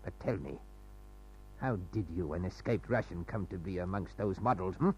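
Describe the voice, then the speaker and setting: An actor playing a sinister, doddering foreign spy in an old radio thriller, outwardly polite, fussy and given to stammering and repeating himself. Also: male voice, sits low, a little mellow. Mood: menacing. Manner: stammering, repetitive, fussy, drawn-out phrasing. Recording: muffled, noisy old radio recording with hum.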